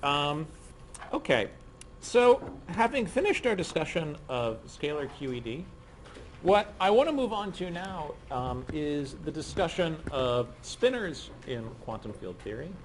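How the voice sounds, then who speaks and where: A middle-aged man lecturing on physics to a class, speaking softly and hoarsely because he has a cold and is losing his voice, with frequent ums. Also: on the high side, sing-song, unhurried, slight background noise. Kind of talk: university lecture. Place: lecture room.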